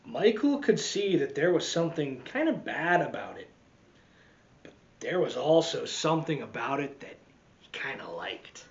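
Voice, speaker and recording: A man reading a picture book aloud to children as a teacher, expressively, with dramatic swings and deliberate pauses. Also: a little high, very animated, highs cut off. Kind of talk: animated children's storytime read-aloud.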